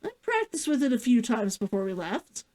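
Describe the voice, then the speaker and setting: Storybook role: guarded, stubborn huntress, fairly high and expressive performed by a female reader. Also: brisk. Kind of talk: fiction read-aloud character dialogue.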